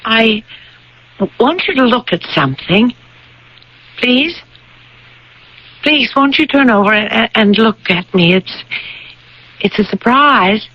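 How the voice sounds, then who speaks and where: An actress playing a gentle, insistent young bride-to-be in a vintage radio drama, with deliberate, pleading delivery. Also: female voice, fairly high pitch, lively intonation. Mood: coaxing. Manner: slow, deliberate, pleading, repeats phrases. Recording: dull vintage radio broadcast recording.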